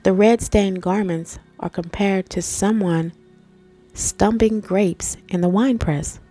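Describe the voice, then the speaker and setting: Stuffy-nosed woman teaching scripture, mellow. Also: mid-range voice, fairly even intonation, takes it slowly, soft background music. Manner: conversational, addresses viewers as family and guys. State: stuffy nose from allergies. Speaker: Bible teacher and channel host. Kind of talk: scripture reading and informal Bible teaching.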